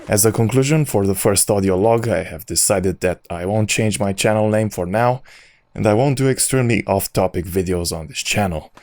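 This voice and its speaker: A man's voice: lowish voice, normal speed, darkish tone. A man whose first language is not English, hosting a solo audio log and giving opinionated commentary in a ranting way. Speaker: YouTube channel host.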